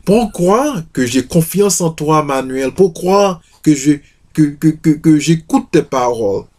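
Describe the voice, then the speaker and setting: A man's voice: on the high side, expressive, a little slow, a little mellow, pitched above the performer's own voice. A man voicing an earnest, modest young peasant woman in a storybook reading, hesitant and repeating her words.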